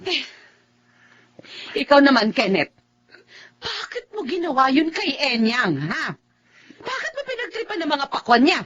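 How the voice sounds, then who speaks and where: High, swooping, worried Filipino mother. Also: sing-song, measured pace, pauses often, fairly bright tone, heavily acted. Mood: worried scolding. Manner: emotional, pressing. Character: worried, scolding mother, protective of her family. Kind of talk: Filipino radio drama acting. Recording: dull audio with music underneath.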